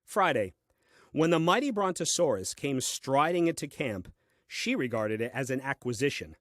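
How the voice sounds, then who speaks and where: Casting: man to play puzzled, literal-minded first husband in a comic audio play; lively swooping delivery, dry pedantry, exasperated temper. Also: medium pitch, dry recording, close to an everyday voice. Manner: deliberate, theatrical, dry and pedantic.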